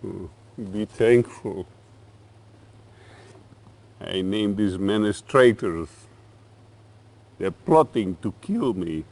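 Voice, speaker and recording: A man playing a paranoid, menacing middle-aged Soviet dictator in a game cutscene, theatrical and deliberate. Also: lowish voice, animated, darkish tone, heavily acted. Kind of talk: dramatic game cutscene acting.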